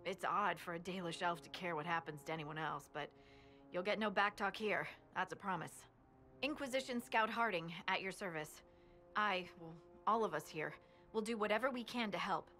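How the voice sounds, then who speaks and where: Young fantasy game scout girl, earnest n dutiful, straight talking, slightly low voice. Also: moderate pace, pushed and bright, faint music behind. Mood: earnest, respectful. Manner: plain-spoken, earnest. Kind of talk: video game dialogue.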